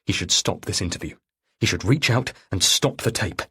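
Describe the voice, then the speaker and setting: A man narrating a thriller audiobook in a measured, dramatic way. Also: low-pitched, bright, forceful tone, slightly rough.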